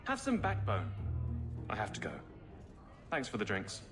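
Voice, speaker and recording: A man's voice: lowish voice, huge pitch swings. A man voicing a plain-spoken male city guardsman who talks directly. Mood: frank. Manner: direct, brisk. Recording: game cutscene with music behind.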